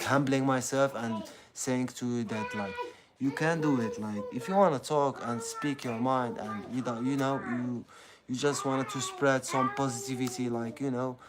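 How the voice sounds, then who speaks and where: Halting Algerian man, crowd chatter behind. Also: mid-range voice. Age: 30s. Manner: halting, full of fillers and restarts. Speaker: content creator. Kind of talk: casual motivational monologue.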